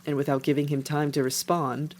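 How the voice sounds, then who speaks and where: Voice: medium pitch, level tone, a little fast, darkish tone. A narrator reading scripted fiction as the storyteller of an animal tale. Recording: faint background noise.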